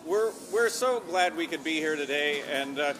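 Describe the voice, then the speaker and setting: A man's voice: fairly high pitch, animated, moderate pace. A man from New York, an opera singer, chatting casually and coaxingly with a school audience after a show, asking the kids questions. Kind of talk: casual post-show chat with children. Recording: noisy audience of children around.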